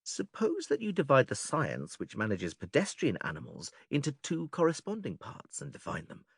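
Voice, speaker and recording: A man playing a patient, methodical philosopher who leads an argument in a dramatised classical dialogue, measured, formal and questioning. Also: mid-range voice, wildly swooping pitch, creaky, breathy edge, dry recording, studio quality, full character voice. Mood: instructive. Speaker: audiobook actor reading philosophical dialogue.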